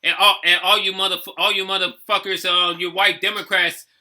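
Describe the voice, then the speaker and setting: Give this voice to a man in his thirties from the United States. It is high and very sharp in tone. He delivers casual, impassioned commentary as a video host, repeating phrases and swearing bluntly. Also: flat delivery, moderate pace. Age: 30s. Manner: repetitive, outspoken, frequent swearing.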